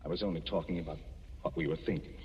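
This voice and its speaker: An actor playing an earnest, dutiful doctor holding back forbidden love, with a restrained, halting, sombre delivery in 1940s radio style. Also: male voice, low voice, steady tone, a little hiss or hum, natural voice. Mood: grave, restrained. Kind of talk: 1940s radio drama acting. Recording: muffled, reverberant old radio, music behind.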